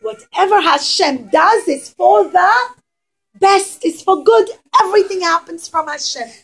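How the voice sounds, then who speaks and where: A pious rabbi from a children's puppet show , voiced by a woman speaks in a high voice. The figure recites words of faith in a measured, accepting manner. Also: low-quality recording. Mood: accepting, devout. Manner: measured recitation of a devout saying. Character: pious, accepting male rabbi trusting that all is for good.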